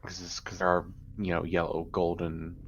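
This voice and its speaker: A man's voice: deep voice, monotone, moderate pace, breathy edge, dull audio. A man chatting casually as a player in a tabletop game. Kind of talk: casual chat.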